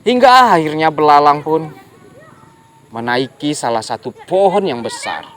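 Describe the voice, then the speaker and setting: A storyteller telling a folk tale to children, animated and often addressing the young listeners directly. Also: medium pitch, a little slow.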